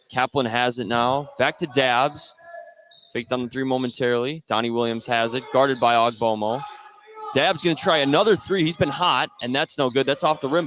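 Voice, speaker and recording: A man's voice: medium pitch, fairly bright tone. American man calling basketball over gym noise. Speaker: play-by-play announcer. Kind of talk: live basketball radio commentary. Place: indoor high school basketball gym. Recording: live gym noise behind the voice. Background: gym ambience, bouncing basketball.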